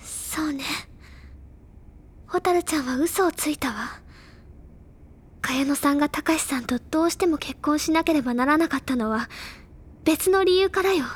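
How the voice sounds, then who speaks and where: A woman's voice: sits high, lightly acted. Composed, bookish schoolgirl, played by a woman. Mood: calm, solemn. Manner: measured, literary, solemn. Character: composed, wise bookish older schoolgirl, gently resolute. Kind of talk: dramatic storytelling monologue. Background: music.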